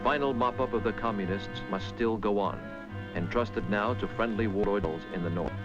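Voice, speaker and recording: A man reading scripted 1960s documentary narration in measured, grave, clipped dramatic phrases. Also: lowish voice. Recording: dull vintage film soundtrack, loud music. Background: loud musical score.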